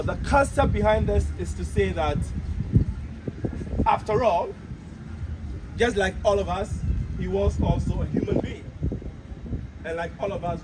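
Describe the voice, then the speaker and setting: Ghanaian man, tour guide, fairly high voice, outdoor recording with clear street noise. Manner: measured, explanatory, rising emphasis on key points. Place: outdoor memorial park by a city road.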